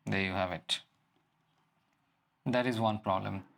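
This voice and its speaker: A man giving an unscripted product walkthrough as a reviewer, explaining things in halting phrases. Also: on the low side, fairly even intonation, creaky, fairly bright tone. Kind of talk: unscripted app and gadget walkthrough.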